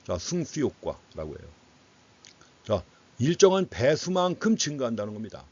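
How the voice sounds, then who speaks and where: A man's voice: medium pitch, huge pitch swings, normal speed, darkish tone, husky. A man giving an explanatory economics lecture in a didactic way, putting questions to students and repeating key phrases for emphasis. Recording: dull, lo-fi lecture audio.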